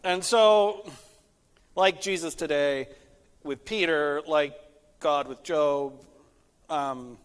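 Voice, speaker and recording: A man with a slightly high voice speaks as a pastor in casual discussion. He tells stories conversationally, dropping in frequent asides. The sound is echoey, with the long reverb of a hall. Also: takes it slowly, pauses often, clear, resonant tone.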